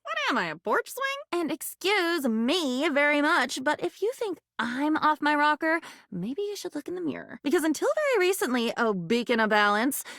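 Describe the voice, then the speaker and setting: A whimsical female diary come to life for a video game. Her voice sits fairly high and swings widely in pitch as she muses aloud. She is curious, excitable and theatrical, recorded very dry with no room sound. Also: moderate pace, few pauses, dry recording, heavily acted. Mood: mock offended. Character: living diary, quirky, excitable, curious female datable character. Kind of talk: video game character voice acting.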